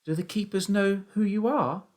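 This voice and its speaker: Man acting a high-pitched, anxious young boy. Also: moderate pace, close to an everyday voice. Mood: worried. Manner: calling out loudly, pleading. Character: anxious young boy, desperate, devoted to his father.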